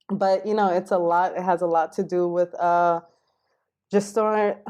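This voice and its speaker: Woman from new york, podcast guest, low voice, mellow tone, long explanations with lots of "you know". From Queens, New York, United States. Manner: fluent and expansive, with many fillers and hedges. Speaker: podcast guest, linguistic anthropologist. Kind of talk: casual interview.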